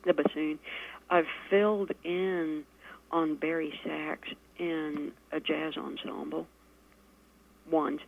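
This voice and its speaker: Gravelly low-voiced woman guest. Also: flat delivery. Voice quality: fairly low voice. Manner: measured, frequent fillers, laughs easily. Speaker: guest musician and poet. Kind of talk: casual interview chat. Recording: lo-fi radio recording.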